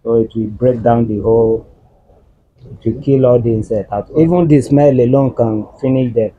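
A middle-aged man with a Nigerian accent, patiently explaining farm work outdoors with halting speech and many fillers. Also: mid-range voice, husky, no room sound. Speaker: farm worker. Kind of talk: explaining farm practice on a walking tour. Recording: dull lo-fi sound.

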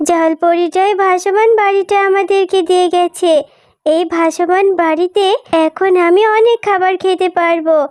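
A woman's voice: extremely high pitch, a little mellow, dry recording, clean studio sound. A woman voicing an innocent little girl fox in a children's cartoon, childlike and earnest. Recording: music behind the voice.

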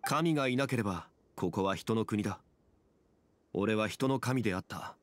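An actor voicing a calm, dignified ancient male god in human form, unhurried in speech. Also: on the low side, dry recording, lightly acted. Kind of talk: Japanese game dub.

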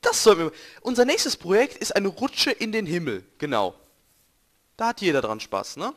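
A young man, a bit hoarse, rambling and joking to viewers while commentating his gameplay. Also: high-pitched, wildly swooping pitch, moderate pace, a bit bright. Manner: joking, rambling, self-correcting, talks to viewers. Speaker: let's play gamer.

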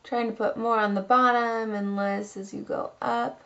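A woman's voice: a little high, fairly even intonation, moderate pace, fairly bright tone, lo-fi sound. A woman in her twenties giving a casual, chatty makeup tutorial as a beauty vlogger, recorded at home on a camera mic. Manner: chatty, informal. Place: small room at home.

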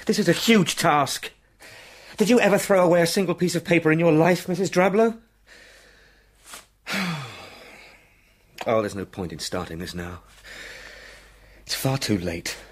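A man's voice: a little high, expressive, a little slow, long pauses. An actor playing a proper young English solicitor in a radio drama, talking to himself in short, clipped phrases as he steels his nerves. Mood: daunted. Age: young adult. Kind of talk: British radio drama acting.

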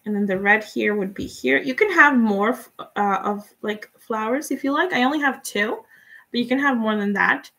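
A young woman from Guatemala whose first language is Spanish, chatting relaxed and giving step-by-step tips in a live painting tutorial. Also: on the high side, moderate pace, gravelly. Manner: relaxed, chatty, laughs often, answers viewers' comments. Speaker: painting instructor.

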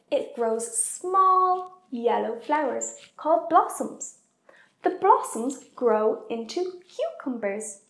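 A young woman hosting a kids' cooking show, presenting facts in an animated, deliberate way. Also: sits high, gravelly. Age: young adult. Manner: animated, expressive, deliberate for kids. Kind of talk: children's educational presenting. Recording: echoey room.

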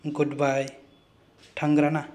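A man with a Kaubru-accented English voice, calmly reading English phrases and their translations, one by one, for a language lesson. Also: fairly high pitch, background noise. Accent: Kaubru (Bru) accented English. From Northeast India. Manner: slow, deliberate phrase-by-phrase reading. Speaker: channel host teaching phrases. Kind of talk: scripted vocabulary lesson. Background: background music.

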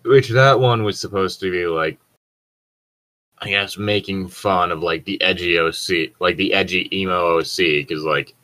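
A man's voice: sits low, a little slow, sharp tone. A man reading fan fiction aloud in a casual group session, swearing and dropping joking, sardonic asides. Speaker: co-host reader. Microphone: own mic on an online voice chat.

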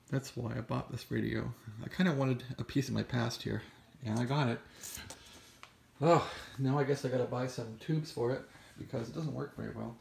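An American man, middle-aged or older, giving a casual, rambling, unscripted show-and-tell in a husky, gravelly, musing voice. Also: mid-range voice, a little mellow. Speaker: vlogger showing a vintage radio. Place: bedroom in a house. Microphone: handheld camera, close behind it.

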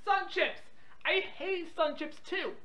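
A woman's voice: very high-pitched, slow, bright, forceful tone, a little hiss or hum. A woman with an irritable, confrontational manner, bantering on a phone call in dull lo-fi audio. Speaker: prank call participant. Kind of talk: phone banter, reading out weather updates.

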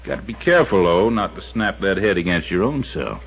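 A man's voice: sits low, lively intonation, a little fast. An actor playing a cheerful, folksy American resort handyman in a 1950s radio drama, talking in plain colloquial phrases. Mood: calm, wry. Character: Buster, cheerful, folksy, sharp-eyed male resort handyman. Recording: muffled, noisy 1950s radio recording.

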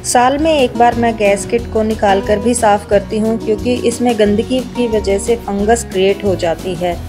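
A woman with an Indian accent giving a calm, step-by-step voice-over for a home cleaning video. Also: medium pitch, moderate pace. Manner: explains each step in a steady how-to tone. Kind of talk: calm scripted voice-over narration. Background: background music.

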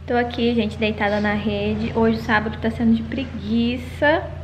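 A woman's voice: fairly high pitch, fairly even intonation, measured pace, music in the background, rough recording. A young Brazilian woman in her twenties chatting casually to a handheld camera at home. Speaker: vlogger. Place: at home, covered garage and kitchen. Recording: faint background noise.

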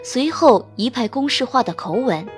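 A woman narrates a romance audiobook in a smooth, expressive storytelling manner. Her voice is high and very lively in pitch, with soft music running under it. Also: normal speed. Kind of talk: scripted narration of a romance novel.

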